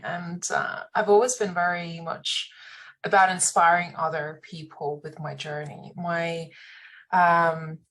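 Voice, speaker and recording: A woman from Lithuania speaks English with her native accent in a slightly low, husky voice. She is an earnest interview guest, talking in a conversational, motivational way. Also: a bit bright. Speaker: podcast guest, entrepreneur.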